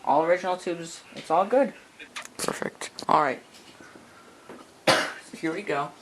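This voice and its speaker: A man giving casual, halting repair commentary with muttered asides, as a hobbyist working at a bench. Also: on the high side, fairly bright tone. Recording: clearly noisy workshop recording with clicks.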